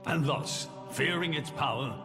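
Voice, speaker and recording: An actor playing a solemn, regal monarch in a video game, speaking formally and gravely with weighty pauses. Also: male voice, fairly high pitch. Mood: solemn, measured. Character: solemn, regal male monarch burdened by his judgment. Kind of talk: dramatic video game cutscene acting. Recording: loud music behind the voice.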